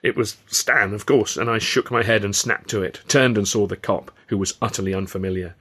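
A man's voice: on the low side, steady tone, brisk, fairly bright tone, breathy edge. A man reading his own novel aloud as a scripted, first-person audiobook narration.

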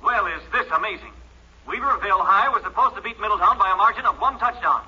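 An actor playing an earnest, excitable sports announcer in a 1940s radio comedy. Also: male voice, high-pitched, quick pace, breathy edge. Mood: announcing, amazed. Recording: muffled, slightly noisy old radio recording.

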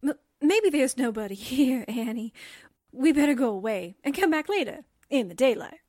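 A woman's voice: high voice, very animated, takes it slowly, darkish tone, no room sound, studio quality, exaggerated voice. A woman acting a timid, jittery assistant who stammers with fright in a 1940s-style radio drama. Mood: petrified.

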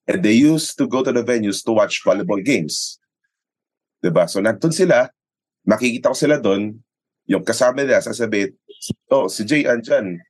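A Filipino man with a low voice, recorded close and dry. He tells stories casually as an interview guest, slipping into quoted dialogue and tossing in tag questions as he goes. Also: animated, moderate pace, breathy edge, no room sound. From Philippines. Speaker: sports announcer and radio commentator, interview guest. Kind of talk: casual conversational storytelling, mixing Tagalog and English.

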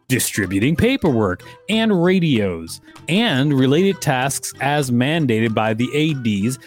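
A man co-hosting a podcast, reading an article aloud with casual commentary. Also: medium pitch. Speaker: podcast co-host.

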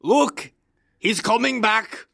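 Man playing creaky, high hapless soldier. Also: takes it slowly, sharp tone, no echo, clean studio sound, full character voice. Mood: delighted. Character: hapless cartoon soldier, gullible, melodramatic. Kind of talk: comedic cartoon acting.

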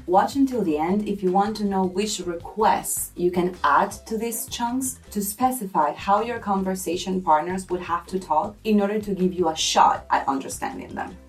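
A woman teaches in an unhurried, deliberate way, spelling each point out clearly. She sounds a little distant from the microphone. Soft music runs faintly underneath. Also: lowish voice. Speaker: language teacher. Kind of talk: scripted lesson narration.

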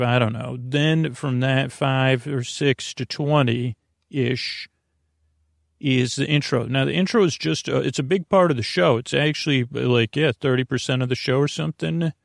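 A man with a creaky voice hosting a bedtime podcast, rambling informally and soothingly, stammering and losing his thread as he goes. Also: mid-range voice, dry recording, clean studio sound. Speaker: podcast host.

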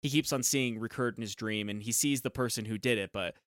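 A man co-hosting a podcast talks through a film in a loose, rambling way, full of filler words. His voice sits at an ordinary pitch, and the recording is dry and close. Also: fairly quick, fairly bright tone, no room sound. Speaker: podcast co-host. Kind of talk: casual chat about a film.

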